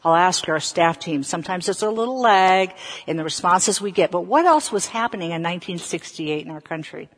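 An American woman in her fifties from the South, a preacher telling personal stories in a deliberate manner and putting questions to her listeners. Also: lowish voice, lively intonation. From Southern United States. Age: 50s. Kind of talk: sermon with personal storytelling. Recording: echoey hall with long reverb.

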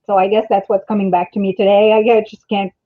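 A middle-aged woman chatting casually in an animated, storytelling way, with thin phone-like audio. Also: mid-range voice, fast talker, no room sound. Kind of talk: casual chat.

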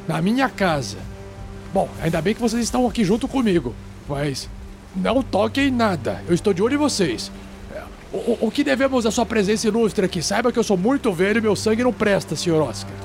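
Man playing a creaky, wary old vampire hunter. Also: high-pitched.

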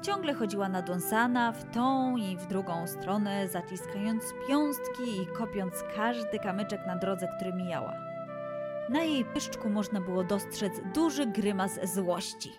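A woman storyteller narrating a scripted children's tale in an expressive, deliberate way. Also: mid-range voice, loud music behind the voice.

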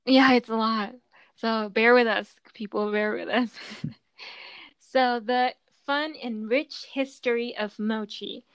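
Woman podcast host, higher voice, dry close sound, easygoing and talkative. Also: fairly even intonation, slow pace, clean tone, dry recording, rough recording. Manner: chatty, explaining with many fillers. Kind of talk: casual chat.

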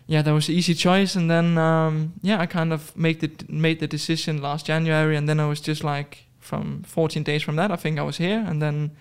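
A man's voice: on the high side, little pitch movement, a little fast, few pauses, clear, resonant tone. A man in his twenties from Denmark, chatting casually as a podcast guest, hesitant with many fillers and restarts. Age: 20s. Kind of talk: casual interview chat.

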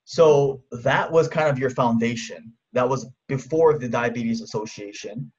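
A man's voice: medium pitch, measured pace, darkish tone. A man hosting an interview, his first language Japanese, asking casual questions and answering with short, slangy, upbeat reactions. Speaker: interviewer, host. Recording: dull lo-fi sound.

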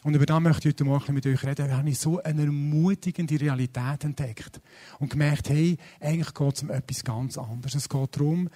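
Chatty Swiss German pastor, fairly high voice. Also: male voice, fairly quick. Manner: lively, chatty and self-deprecating, with frequent fillers. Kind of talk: sermon. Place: church service.

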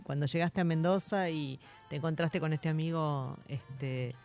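A man's voice: fairly high pitch, fairly even intonation, unhurried, vocal fry, a little mellow, soft background music. A man with an Argentine accent hosting a radio interview, asking long, rambling questions full of fillers. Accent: Argentine Spanish.

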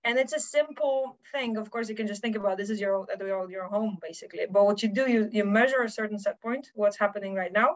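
A woman's voice: medium pitch, fast, few pauses. A woman guest on a podcast explaining technical things conversationally, often checking in with the listener. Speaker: podcast guest, OT security specialist. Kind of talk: casual explanatory chat. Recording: dull lo-fi audio.

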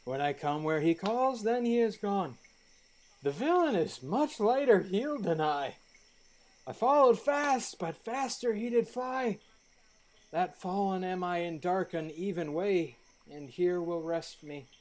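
A man's voice: sits high, lively intonation, measured pace. An actor playing a hot-headed young nobleman in a Shakespearean radio play, declaiming defiantly in verse. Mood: weary, vengeful. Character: hot-headed young Athenian nobleman challenging his rival.

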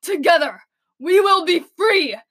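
Woman playing Frank, a slow, solemn rebel. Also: very high voice, lively intonation, fairly bright tone, full character voice. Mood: rousing. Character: solemn, defiant rebel radio co-host named Frank. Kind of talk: scripted comic audio drama.

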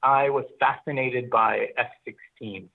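A middle-aged man speaks as an interview guest, with fry running through his voice. He recounts his experiences reflectively, often restarting and repeating words. The sound is narrow and thin, like a phone line. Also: mid-range voice, steady tone, measured pace. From Pakistan, grew up in Oman. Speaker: interview guest, business founder. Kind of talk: conversational personal storytelling.

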